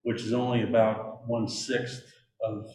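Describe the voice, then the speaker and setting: A man at a county meeting explaining budget figures in a hesitant, measured way. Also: mid-range voice, level tone, darkish tone. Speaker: meeting participant reporting on budgets. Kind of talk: public meeting discussion. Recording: roomy sound with faint ambience.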